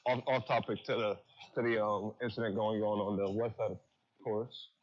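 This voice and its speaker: A man's voice: fairly low pitch, steady tone, normal speed, a little mellow. A man casually giving live incident updates as a stream contributor, heard through dull lo-fi audio.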